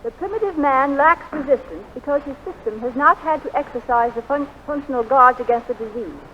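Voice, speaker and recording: A woman's voice: high-pitched, brisk, slight background noise, natural voice. A woman playing a poised, wry doctor and new wife in a 1940s radio drama. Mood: poised, composed. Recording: muffled 1940s radio broadcast.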